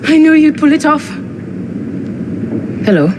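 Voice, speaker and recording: High-voiced earnest woman ally, noisy background. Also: very animated, measured pace. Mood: composed. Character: Bukra, earnest, thorough woman logistics partner. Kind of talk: video game cutscene acting.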